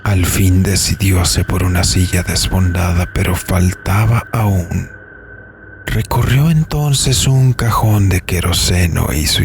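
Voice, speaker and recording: A man's voice: low voice, gravelly, darkish tone, slight background noise. A man narrating a scripted horror audio story as its host.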